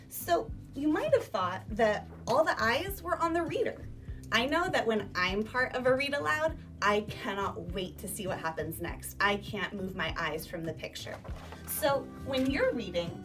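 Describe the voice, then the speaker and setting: A woman teacher giving an emphatic, instructional talk to young children, asking them questions as she goes. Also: on the high side. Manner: emphatic, animated teacher delivery with rhetorical questions. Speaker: elementary school teacher. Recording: distant microphone, music behind.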